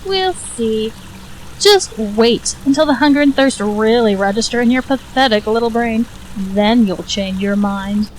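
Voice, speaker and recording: A woman's voice: on the high side, a little slow, slight background noise, natural voice, poor audio. A woman playing a scornful, mocking ghost who speaks inside a girl's mind in an audio drama. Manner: mocking, coaxing.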